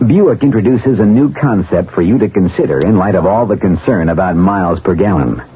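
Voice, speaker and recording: A man announcing a car commercial in measured 1970s radio style. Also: deep voice, breathy edge. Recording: thin telephone-like old radio recording.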